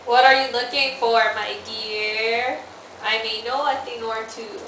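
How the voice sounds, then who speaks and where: A woman's voice: a little high, steady tone, a little slow, bright, forceful tone. A young woman playing a stern, bossy lady in an amateur student puppet show. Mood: sly. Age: teenager. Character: queen and old hag wife, bossy, stern. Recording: echoey distant amateur recording with hiss.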